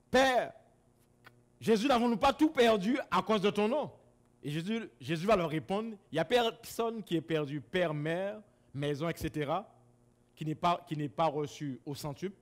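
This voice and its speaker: Male preacher from Guadeloupe, lively, fairly high voice, loud bursts and hushed asides. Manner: swings from loud exclamations to low asides, repeats words. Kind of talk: sermon. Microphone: camera-recorded.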